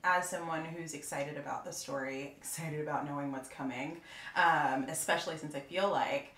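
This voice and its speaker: A woman in a casual panel discussion, speaking earnestly and reflectively, with some halting. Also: low voice, fairly even intonation, a bit bright. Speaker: drama podcast cast member in a roundtable. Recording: roomy echo, clear background noise.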